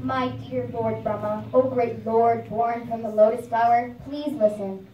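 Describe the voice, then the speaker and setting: A woman's voice: on the high side, steady tone, muffled recording, a little hiss or hum, close to an everyday voice. Solemn benevolent lion-god, voiced by a woman, echoey. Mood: solemn warning. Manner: solemn, formal, measured. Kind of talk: devotional puppet show dialogue. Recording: echoey room, distant microphone.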